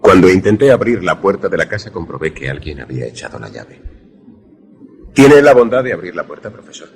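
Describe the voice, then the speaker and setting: An actor playing a wary, grave protagonist who narrates a radio suspense drama formally. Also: male voice, low voice, big pitch swings, a little fast, vocal fry, husky, heavily acted. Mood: tense, then guarded politeness. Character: wary male narrator-protagonist, secret lover in danger.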